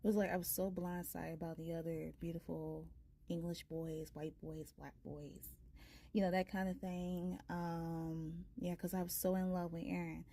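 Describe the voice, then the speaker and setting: A woman in her thirties with a soft voice, a tarot reader chatting casually and ramblingly with her viewers. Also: fairly low pitch, flat delivery, normal speed. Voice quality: soft-spoken. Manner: rambling, many fillers. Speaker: tarot reader, channel host.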